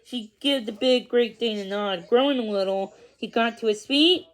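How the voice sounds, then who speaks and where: A woman reading a children's story aloud, a little haltingly, now and then repeating a word. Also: on the high side, rough recording. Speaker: storybook reader.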